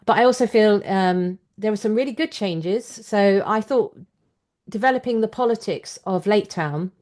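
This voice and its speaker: A woman's voice: mid-range voice, moderate pace, darkish tone. A woman hosting a podcast interview, conversationally offering her own opinions and questions.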